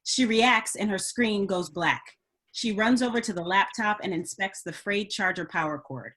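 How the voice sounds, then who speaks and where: A woman narrator reads out scene headings and action from a screenplay. Her voice is of medium pitch, in the scripted style of a table read. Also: moderate pace, fairly bright tone. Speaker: narrator reading stage directions.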